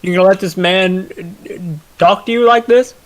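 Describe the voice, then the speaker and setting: A man with a fairly high voice hosts a wrestling promo in a brash, showy manner. He boasts as a tag team champion and plays to the crowd. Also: fairly even intonation, normal speed. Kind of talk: hyped wrestling promo talk.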